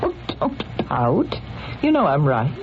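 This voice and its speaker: Wealthy, domineering lady, theatrical and lively in pitch, vintage radio drama with heavy background noise. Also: unhurried, exaggerated voice. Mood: insistent. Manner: theatrical, coaxing, cutting. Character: imperious, possessive wealthy woman, affectionate then scolding. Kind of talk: old-time radio drama acting. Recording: muffled, noisy old radio recording.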